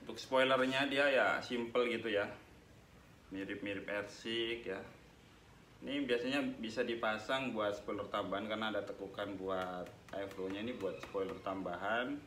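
A man's voice: lowish voice, steady tone, normal speed, a bit bright. Chatty young man in an echoey room. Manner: chatty, addresses viewers as guys. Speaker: motovlog host reviewing a helmet. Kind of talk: casual product review vlog. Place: small room with tiled wall, indoors. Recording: echoey room, noisy background, mic at a distance.

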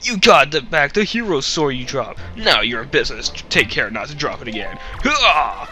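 A man's voice: medium pitch, sing-song, moderate pace, sharp tone, faint music behind. A young man hosting a Let's Play with casual, rambling, jokey gameplay commentary and a slightly stuffy nose. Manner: rambling, goofy, jokey asides.

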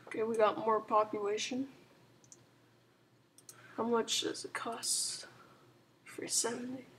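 A hoarse woman who is clearly sick talks quietly through a strategy game, rambling and hedging as she goes. Her voice is flat and even, with little rise and fall, over a faint hiss. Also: on the high side, slow. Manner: rambling, full of "like" and "um", soft-spoken. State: hoarse and sick, sore throat. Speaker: gaming channel host. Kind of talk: casual strategy game commentary.

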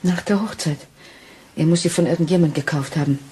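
An actress playing an anxious, trusting Victorian wife in a German radio crime drama, earnest and refined. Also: female voice, sits low, fairly quick, mellow, slight background noise, lightly acted.

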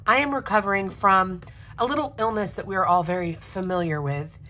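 A woman with a slightly raspy voice from a recent illness chats casually and eagerly at her desk, now and then clearing her throat. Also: mid-range voice, brisk, bright, forceful tone, a little hiss or hum. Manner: enthusiastic, chatty, frequent throat clearing. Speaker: planner vlogger. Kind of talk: casual unboxing chat. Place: home desk.

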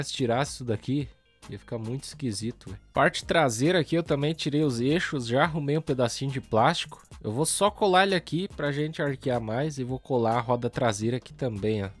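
Male hobby vlogger, Brazilian accent, hoarse and stuffy nasal voice, relaxed chatty slang. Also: medium pitch. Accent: Brazilian Portuguese. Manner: relaxed slangy chatter, talks while working. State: hoarse and congested from a cold. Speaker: toy truck customizing YouTuber. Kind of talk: casual hands-on tutorial narration.

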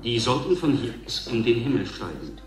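An actor playing a solemn, emphatic TV announcer in a radio drama. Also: male voice, medium pitch, sing-song, close to an everyday voice. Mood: portentous. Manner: emphatic, drawn-out, portentous. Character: solemn male TV announcer and reporter. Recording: roomy, echoing announcer sound.

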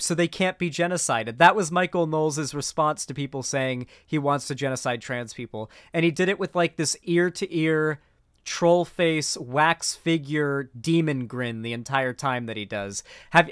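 A man in his twenties with an American accent, giving indignant, sarcastic reaction commentary as a live-streamer, halting and swearing, close on the mic. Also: on the high side, a bit bright, clean tone, no echo. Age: 20s. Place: small home streaming room. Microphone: close boom mic.

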